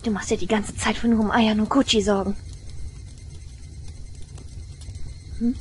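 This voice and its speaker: A woman playing an obsessive, vengeful young shrine maiden in a German audio drama, eerily tender, with breathy whispers and slow menace. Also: medium pitch, level tone, slight background noise, close to an everyday voice.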